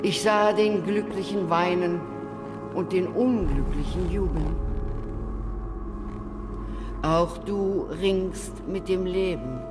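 Ancient wise woman, radio play, very slow delivery, solemn and prophetic, loud music behind. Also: lowish voice. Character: ancient solemn wise woman, revered mountain spirit-queen. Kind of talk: radio drama acting.

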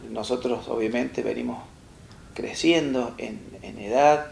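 A young adult man with an Argentine accent, interviewing a guest with gentle probing questions and finishing the guest's phrases. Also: mid-range voice, measured pace, creaky. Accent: Argentine Spanish. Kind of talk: studio interview. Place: small studio set, seated in armchair. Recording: roomy echo, faint hiss.

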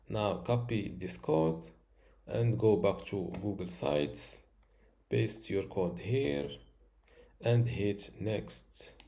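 Slow, low-voiced man teaching software. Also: creaky. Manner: slow, step-by-step instructions with fillers. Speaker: tutorial host. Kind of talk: screen-recorded software tutorial.

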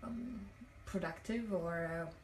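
A woman's voice: mid-range voice, slow pace. Young woman, native italian speaker, chatting on a phone live, lots of ums, echoey bathroom, faint hum behind. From Italian-speaking Switzerland. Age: young adult. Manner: chatty, reads comments aloud, many fillers. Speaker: livestream host. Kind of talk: casual livestream chat. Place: hotel bathroom, sitting on the floor. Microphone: phone held at arm's length, talking to a mirror. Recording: echoey tiled bathroom, phone mic at a distance.

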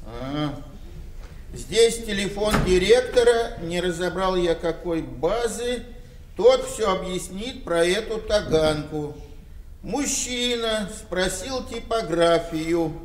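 An older man acting a meek, plain-spoken, slightly muddled old village father in a Soviet radio play, with halting delivery. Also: high voice, lively intonation. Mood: dutiful, literal. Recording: roomy, distant, faintly noisy radio-play recording.

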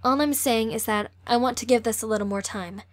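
A woman's voice: a little high, a little fast, no echo, clean studio sound, close to an everyday voice. A woman playing an earnest, cautious sixteen-year-old girl in an amateur audio drama. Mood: earnest, hesitant.

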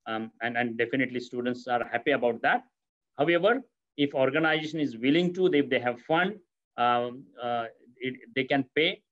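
Hesitant middle-aged man on a muffled call. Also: medium pitch. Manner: hesitant, many fillers and restarts. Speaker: academic panelist. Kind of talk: answering questions on a video call. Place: office with city window. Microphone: laptop webcam mic on video call. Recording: muffled video-call audio.

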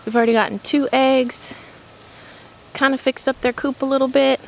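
A woman's voice: high-pitched, steady tone, normal speed, vocal fry, slight background noise, rough recording. Chatty woman touring her garden. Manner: chatty and upbeat, talking to the camera while working outdoors. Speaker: gardening vlogger. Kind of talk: casual narrated garden tour. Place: outdoor backyard garden. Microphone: handheld camera mic. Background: faint insects and crickets.

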